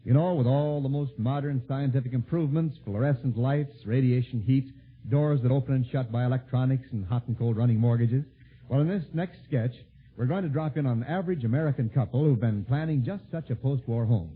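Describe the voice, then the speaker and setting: A man's voice: mid-range voice, fairly quick, breathy edge, narrow, tinny audio. A man announcing from a script in the style of 1940s American network radio. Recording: thin, band-limited 1940s radio broadcast.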